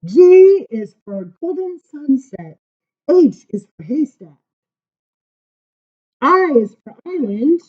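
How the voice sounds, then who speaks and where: A woman reading children's poems aloud at story time, informal, with dramatic emphasis and drawn-out words. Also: high-pitched, big pitch swings, muffled recording. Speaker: library story time reader.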